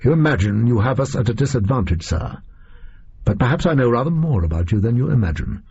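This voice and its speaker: A man's voice: medium pitch, big pitch swings, fast, dark tone, husky, full character voice. A man voicing a precise, haughty Victorian detective in formal, exacting speech. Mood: cool. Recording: muffled lo-fi recording.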